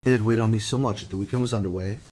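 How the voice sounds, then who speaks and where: A man playing a guilt-ridden, defensive middle-aged father giving a halting confession in a crime radio play. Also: fairly low pitch, a little mellow, close to an everyday voice. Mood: subdued, explaining.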